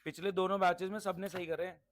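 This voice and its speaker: A man's voice: high-pitched, flat delivery, fast talker. A man teaching a class interactively, instructive and repetitive, often checking understanding with questions and mixing in English terms. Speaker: accountancy teacher.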